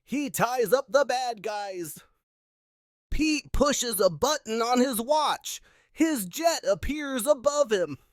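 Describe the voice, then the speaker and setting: A woman reading a children's picture book aloud in simple, expressive narration. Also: fairly high pitch, slow, no room sound, clean studio sound. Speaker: read-aloud storyteller.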